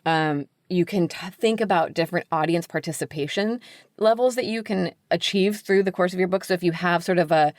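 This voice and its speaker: A woman's voice: on the low side, a little fast, no echo, studio quality. A woman talking casually as an interview guest, explaining things in a chatty way with lots of "like" and "sort of". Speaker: podcast guest, children's book editor.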